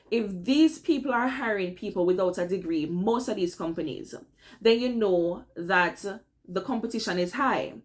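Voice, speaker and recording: A young woman with a Jamaican accent talks straight to her viewers in a relaxed advice vlog. She keeps it chatty and personal, often checking in with them as she goes. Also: mid-range voice. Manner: chatty, addresses viewers as "guys", repeats "all right?". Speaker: vlogger giving career tips. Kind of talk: casual advice vlog to camera.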